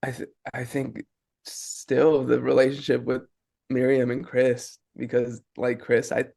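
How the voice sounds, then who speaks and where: A young man, an actor, thinking aloud haltingly in an interview and repeating his words. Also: mid-range voice, completely flat delivery, creaky, mellow. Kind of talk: casual interview chat.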